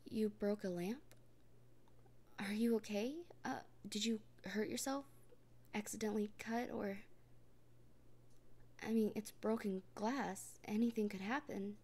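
A woman in a soft, gently reassuring comfort roleplay, often speaking in a whisper. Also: medium pitch, fairly even intonation, measured pace, long pauses, breathy edge. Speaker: roleplay performer speaking as a partner.

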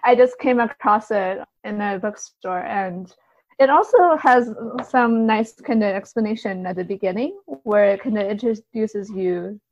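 A woman's voice: medium pitch, measured pace, no room sound, highs cut off. A woman answering casually as a podcast guest, in a tentative, hedging way. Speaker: guest, translator and poet.

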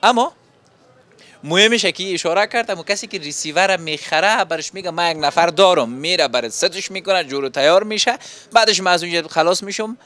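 Bright-voiced young Afghan male TV reporter. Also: high voice. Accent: Afghan Dari. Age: young adult. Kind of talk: on-location TV report and street interview. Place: open-fronted electronics shop on a market street. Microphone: handheld reporter microphone.

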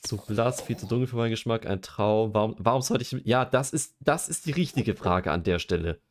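A man's voice: lowish voice, a little fast, a little hiss or hum. A young man streaming a game, chatting casually and joking while he reads quiz questions aloud and muses over his answers. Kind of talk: casual livestream chat while gaming.

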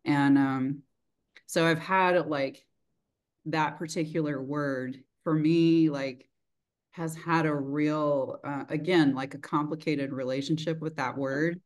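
A woman's voice: low-pitched, steady tone, slow. A middle-aged woman with a mild Texan accent, chatting casually and reflectively on a video call. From rural Texas, United States. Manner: reflective, informal, frequent "like". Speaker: interviewer, host. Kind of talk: casual chat. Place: small indoor room, video call. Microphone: video call, desk distance.

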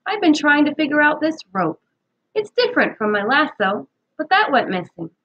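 Sheepish high-pitched camp boy voiced by a woman. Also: very animated, moderate pace, dull audio. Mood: explaining. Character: sheepish, earnest boys at summer camp.

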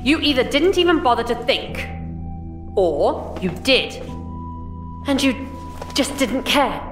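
Actress as challenging young woman, earnest, lively delivery; echoey space. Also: sits high, slow pace, full character voice. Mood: accusing. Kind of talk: audio drama acting. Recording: roomy reverb with music behind.

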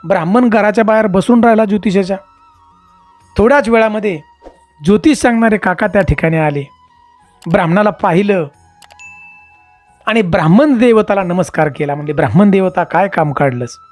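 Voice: medium pitch, a little fast, long pauses, darkish tone, faint music behind. A storyteller narrating a moral religious tale emphatically, repeating phrases and addressing listeners directly.

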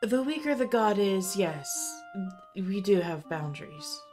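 Woman acting a slow, proud, defensive goddess. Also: mid-range voice, big pitch swings, a little mellow. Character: proud goddess sharing a mortal's body, defensive. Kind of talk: amateur fantasy audio drama acting. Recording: music bed under voice.

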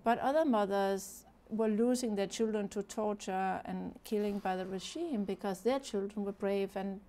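A woman's voice: medium pitch, normal speed, dark tone. A woman from Denmark with a Danish accent, speaking as a guest in an earnest studio interview, with many fillers and restarts. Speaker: charity founder, guest. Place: TV studio set.

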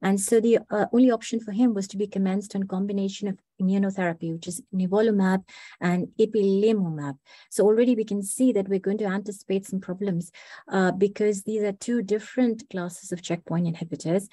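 A woman with a hoarse, mellow voice gives a methodical medical talk over a laptop mic on a video call. She speaks steadily, pausing often with small fillers. The sound is dry and close. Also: medium pitch, steady tone, no room sound. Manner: measured, methodical, frequent "uh" fillers. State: hoarse, recovering from flu. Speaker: clinical research fellow presenting a case. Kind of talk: online medical lecture.

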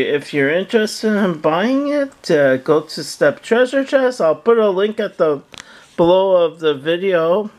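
A man giving a casual, halting product demonstration as a seller, often repeating himself, recorded indoors on a handheld camera. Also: a little high, darkish tone. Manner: halting, repetitive, plain-spoken. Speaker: online seller demonstrating an item. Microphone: handheld camera, speaker off screen behind it.

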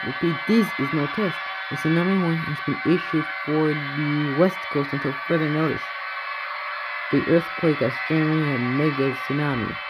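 A man delivering an improvised mock emergency broadcast announcement, haltingly, stumbling over words and correcting himself. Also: on the high side, very noisy. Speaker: narrator of fictional emergency alerts.

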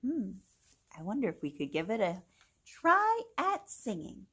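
A young adult woman hosting a children's read-along, reading a picture book aloud in an exaggerated, animated manner for small children. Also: mid-range voice, a little slow, husky. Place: small indoor room with bookshelf.

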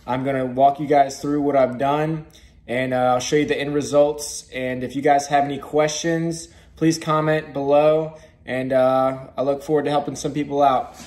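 A young man in his twenties, a pressure washing business owner, giving a relaxed how-to vlog, talking plainly with frequent ums and uhs, filmed on a phone held at arm's length indoors. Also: mid-range voice, moderate pace. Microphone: phone camera at arm's length.

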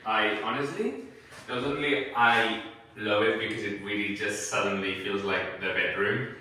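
Excitable man, off-mic in echoey room. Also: on the low side, normal speed, far from the mic. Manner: excitable, gushing, laughs a lot. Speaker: renovation vlog co-host. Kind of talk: casual chat.

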